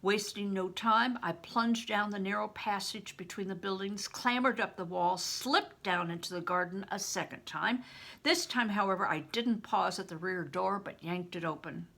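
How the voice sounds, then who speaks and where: Bright, creaky older woman reading a story. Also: mid-range voice, moderate pace. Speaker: retired reading teacher, storyteller. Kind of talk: expressive audiobook-style reading of a children's novel.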